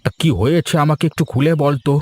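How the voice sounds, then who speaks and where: A man voicing a poor, weary old village grandfather in a cartoon, slow and grumbling. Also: fairly high pitch, darkish tone, close to an everyday voice. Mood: disapproving. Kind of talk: Bengali moral-story cartoon dubbing.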